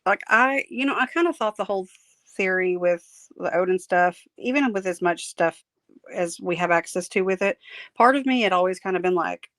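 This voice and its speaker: A woman's voice: on the low side, normal speed, fairly bright tone, no echo. A woman chats casually as a guest, her voice tired and strained. She laughs often and hesitates with many fillers. The sound is dry and close, with no room around it. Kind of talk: casual chat.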